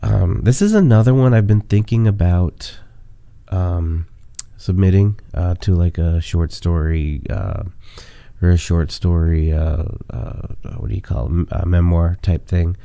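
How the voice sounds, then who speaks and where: A man's voice: deep voice, measured pace, gravelly, warm tone, no room sound. A man in his late thirties with a cold, hosting a podcast in a stuffy, nasal voice, rambling with many fillers before reading a memoir story. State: sick with a cold. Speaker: podcast host. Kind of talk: casual monologue and memoir reading.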